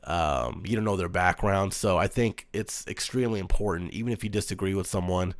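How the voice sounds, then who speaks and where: Deep-voiced guy from the us doing a solo sports talk show, flat monotone, dry close sound. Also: a little fast, dry recording. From United States. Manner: monotone, calm and earnest with frequent "um"s.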